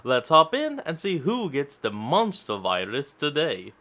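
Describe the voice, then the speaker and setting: Guy doing casual reaction commentary, bright voice, rambly asides. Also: fairly high pitch, wildly swooping pitch, measured pace. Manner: rambling, self-correcting asides. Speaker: reaction video host.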